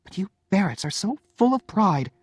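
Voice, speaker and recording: A man's voice: high voice, sing-song, moderate pace, husky, no echo, studio quality, pitched above the performer's own voice, heavily acted. A man voicing a young wife in an audiobook story, hurt and exasperated, pleading with emphatic emotion. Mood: earnest reproach.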